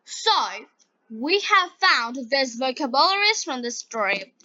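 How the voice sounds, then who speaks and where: A woman with a Bengali accent reading like an English teacher for learners, in short, deliberate, carefully enunciated phrases. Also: high-pitched, very animated, pushed and bright, dry recording, poor audio. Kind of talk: slow scripted story reading and vocabulary lesson for learners.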